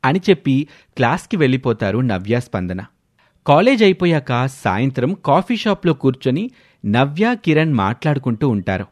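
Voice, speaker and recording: Man narrating a story with lively pitch. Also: normal speed. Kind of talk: scripted dramatic story narration in Telugu village tale.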